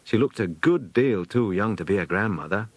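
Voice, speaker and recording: British male storyteller, children's audiobook, animated delivery in a dry close recording. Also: on the low side, moderate pace, no echo. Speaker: audiobook narrator. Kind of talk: scripted children's story narration.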